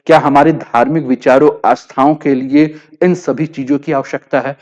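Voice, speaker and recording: A man's voice: mid-range voice, steady tone, moderate pace, darkish tone, dull audio. A man with an Indian accent teaching in an explanatory lecture style, didactic, often rephrasing his points and leaning on verbal tags. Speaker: teacher of current affairs for exam students. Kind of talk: explanatory lecture in Hindi with English terms.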